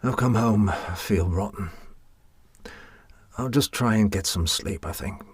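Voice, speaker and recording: An actor playing a sick, weary middle-aged family man in an audio drama, speaking politely and haltingly. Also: fairly low pitch, dry recording, heavily acted. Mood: weary, unwell. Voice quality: sick, husky, coughing voice. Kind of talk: naturalistic audio drama acting.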